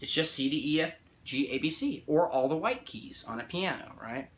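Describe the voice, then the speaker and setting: A man's voice: fairly high pitch, lively intonation, normal speed, fairly bright tone. A man giving a casual music theory lesson. He often checks in with "right?" and restarts or corrects himself while counting out notes. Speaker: music teacher. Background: guitar playing along.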